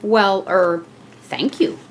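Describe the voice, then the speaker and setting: A woman voicing a brisk, hearty male fire chief in a children's storybook. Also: medium pitch, animated, creaky, a little hiss or hum, poor audio. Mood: flustered.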